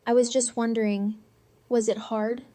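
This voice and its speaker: Shy, flat-toned teenage girl played by a woman. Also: on the high side, moderate pace, close to an everyday voice. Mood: shy, hesitant. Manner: hesitant, casual, understated. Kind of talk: audio drama acting.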